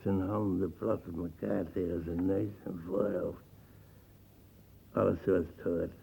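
An actor playing a proud, defensive old colonial ex-soldier uncle in a radio play, talking in hesitant, scornful bursts. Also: male voice, sits low, level tone, a little slow, gravelly, warm tone, husky, full character voice, rough recording. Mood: nostalgic, boastful. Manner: hesitant fillers, tag words, scornful laughing.

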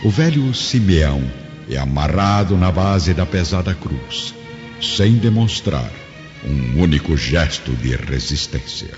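A man's voice: low-pitched, very animated, takes it slowly. A man narrating a radio drama in a solemn, literary, scripted style. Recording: dull recording, long reverb, music behind. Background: music.

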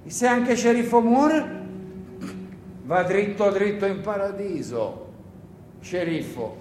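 A man acts out a gruff, seasoned partisan in a radio drama. He answers curtly and impatiently, in plain matter-of-fact phrases. The sound is roomy and echoing, with faint noise behind. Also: high-pitched, expressive, takes it slowly, darkish tone. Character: gruff, curt male partisan comrade, seasoned and dismissive. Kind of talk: theatrical radio play dialogue.

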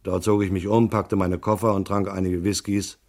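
An actor playing a hard-boiled, laconic detective in a noir radio drama, narrating in a terse, deadpan way. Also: male voice, low voice, moderate pace, lightly acted. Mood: dry, weary. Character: hard-boiled male private detective, laconic and world-weary.

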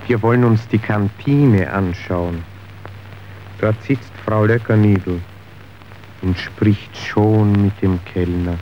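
An actor playing a calculating factory middleman in a radio drama, speaking in a measured, deliberate way. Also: on the low side. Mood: coolly commanding. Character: calculating male factory middleman, coolly testing people. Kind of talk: scripted radio drama acting. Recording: dull, faintly hissy old radio recording.

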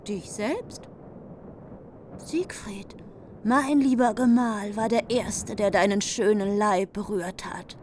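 A woman's voice: medium pitch, slow, a little mellow, slight background noise, natural voice. A woman playing a proud, defiant noblewoman of legend in a radio play, with formal, poetic speech. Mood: scornful, defiant.

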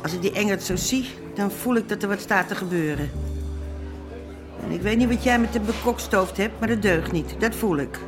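A woman's voice: medium pitch, moderate pace, music in the background, close to an everyday voice. An actress playing a stern, worried middle-aged café landlady and gangster's wife in a radio drama, curt and disapproving. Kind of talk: naturalistic radio drama acting.

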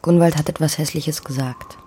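An actress playing a poor young pregnant farm maid in a radio play, fearful yet stubborn, speaking earnestly in formal stage diction. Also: female voice, sits low, steady tone, normal speed, mellow, husky. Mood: troubled, guarded. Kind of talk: German radio play acting.

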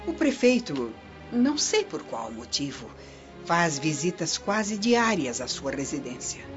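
A woman's voice: low voice, big pitch swings, unhurried. A woman acting a scheming, vengeful Roman noblewoman in a radio drama, with formal, theatrical, insinuating delivery. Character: scheming vengeful Roman noblewoman, falsely confiding prefect's wife. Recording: muffled lo-fi recording under loud music.

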